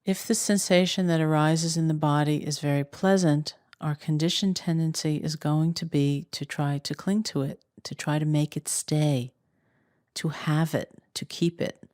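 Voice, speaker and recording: A woman with a low voice leads a guided meditation as a teacher. She speaks calmly and without hurry, leaving long pauses between phrases. The recording is close and dry, with almost no room sound. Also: dry recording. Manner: calm, unhurried, with long pauses.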